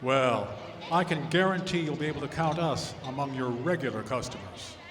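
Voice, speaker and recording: An actor playing a courteous, earnest Edwardian minister in an old-time radio drama, speaking formally and with measured care. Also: male voice, mid-range voice, crowd noise, close to an everyday voice. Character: courteous, gently earnest 1900s minister and devoted husband. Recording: echoey hall with audience sounds.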